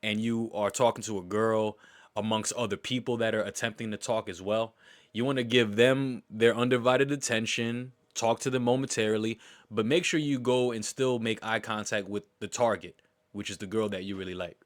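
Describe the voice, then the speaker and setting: A man's voice: fairly low pitch, steady tone, moderate pace, no echo, studio quality. A man reacting to a dating show and giving blunt, slangy dating advice in casual commentary.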